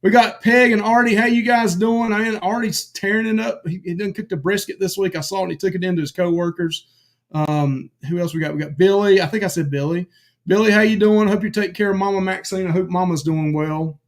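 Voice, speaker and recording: A man with a high-pitched voice hosts a live stream and chats loosely with his viewers. He calls out names, says "um" and "uh" often, and sounds a little hoarse because his voice is giving out. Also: a little fast. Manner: chatty, greets viewers by name, many fillers. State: losing his voice. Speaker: livestream co-host. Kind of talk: casual live-stream chat.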